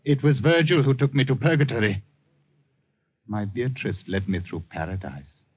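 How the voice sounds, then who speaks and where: A man's voice: medium pitch, moderate pace, breathy edge, natural voice. An actor playing an intense, deranged, grandiose man who claims to be a poet, in theatrical 1950s radio drama style. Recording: muffled vintage 1950 radio recording.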